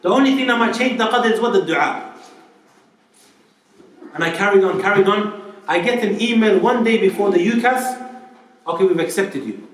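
A man with a fairly high voice gives a relaxed, conversational sermon, often asking his listeners questions. He is some way from the mic, and his words bounce around a large, very reverberant room. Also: moderate pace, long pauses. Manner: conversational, asks the audience rhetorical questions. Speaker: preacher. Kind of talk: informal Islamic talk to a live audience. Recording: very echoey hall, voice from far off.

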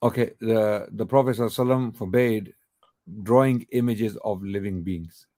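A man's voice: on the low side, steady tone, brisk, mellow, no room sound. Guy hosting a call-in show, hammers his points home, dry close sound. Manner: emphatic, repeats key points. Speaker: host answering callers' questions. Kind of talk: live call-in Q&A.

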